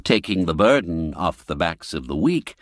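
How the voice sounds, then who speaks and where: A man narrating a classic literary story for radio, reading expressively and deliberately, drawing out key words for emphasis. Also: deep voice, very animated, dry recording, clean studio sound.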